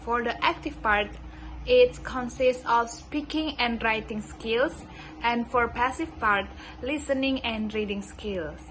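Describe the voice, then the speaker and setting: Student type: young woman, Indonesian accent, a touch high-pitched, careful and unhurried delivery of a prepared class talk. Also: fairly bright tone, slight background noise, poor audio. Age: young adult. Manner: slow, careful reading of a prepared script. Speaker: student presenter. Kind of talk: class presentation.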